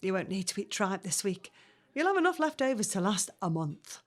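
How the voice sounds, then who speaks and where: An actress playing a reassuring young working-class pregnant woman, gently coaxing, in a 1950s family audio drama. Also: medium pitch, very animated, normal speed.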